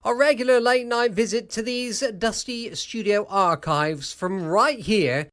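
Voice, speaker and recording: A man's voice: high-pitched, a little slow, fairly bright tone. A man hosting a podcast in a chatty, informal and rambling way. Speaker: podcast host. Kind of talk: chatty introduction to an old time radio podcast.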